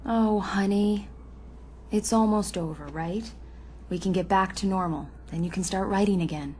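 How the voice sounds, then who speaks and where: An actress playing a writer's caring, gently reproachful wife in a video game, a woman speaking in a soothing, intimate way. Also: mid-range voice, normal speed, a little hiss or hum, natural voice. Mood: reassuring. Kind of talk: naturalistic game dialogue.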